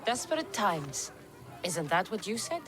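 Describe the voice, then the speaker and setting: An actress playing a bold, determined ancient mercenary in a video game, speaking directly. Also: female voice, medium pitch, sing-song, a little slow, a crowd in the background, noisy background. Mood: resolute. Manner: direct, resolute.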